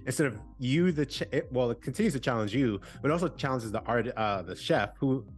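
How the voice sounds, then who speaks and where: A man's voice: medium pitch, lively intonation, a little fast. A man hosting a podcast, reflecting aloud and asking long, winding questions in a casual tone. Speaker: podcast host.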